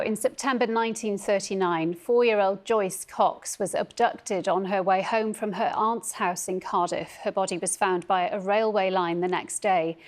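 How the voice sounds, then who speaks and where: A woman presents the news. Her voice sits at a middling pitch, and she delivers scripted links and interview questions in a formal broadcast style.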